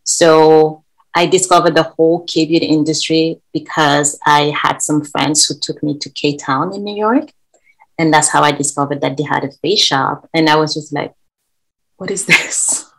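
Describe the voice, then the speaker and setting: A woman from Senegal with a notably low voice talks as a guest in an interview. She is chatty and eager, and her stories run along easily. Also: fairly even intonation, unhurried, low-quality recording. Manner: chatty, enthusiastic storytelling. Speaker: guest, event co-founder. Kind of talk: casual interview talk. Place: lives in Korea.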